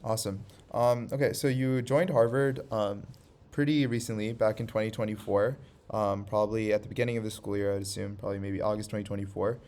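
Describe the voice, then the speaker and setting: Male podcast host, casual interviewer, low voice, murmurs along while listening. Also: fairly even intonation, brisk, darkish tone. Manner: frequent backchannel listening sounds.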